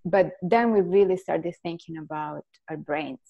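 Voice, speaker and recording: Italian-accented woman, low mellow voice. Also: unhurried, vocal fry, muffled recording. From Italy. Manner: earnest, animated hand gestures. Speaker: guest neuroscientist. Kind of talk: video call interview. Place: living room at home. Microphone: earbud headset on video call.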